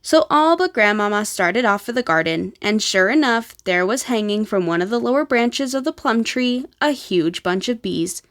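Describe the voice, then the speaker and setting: A woman's voice: medium pitch, expressive, normal speed, hardly any pauses, fairly bright tone. A woman narrating a children's book as a read-aloud storyteller, in scripted prose.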